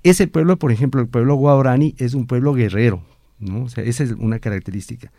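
A man with a dark, mellow voice talks as a guest in a panel discussion. He is from Ecuador and speaks haltingly, with many fillers and repeated words. The sound is close and dry. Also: mid-range voice, dry recording. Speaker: academic guest.

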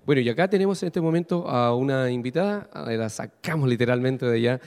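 A man's voice: medium pitch, expressive, fast, darkish tone, smooth. Chilean man asking long questions. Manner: probing, long wandering questions with fillers. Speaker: radio host. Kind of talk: interviewing a guest.

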